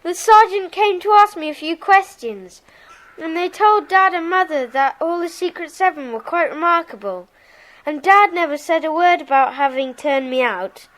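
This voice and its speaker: A woman's voice: extremely high pitch, normal speed, fairly bright tone, poor audio. A woman playing a happy, earnest schoolboy member of a gang in an audiobook drama. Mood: happy, relieved. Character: happy schoolboy, relieved to rejoin his gang. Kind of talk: audiobook radio-play dramatisation.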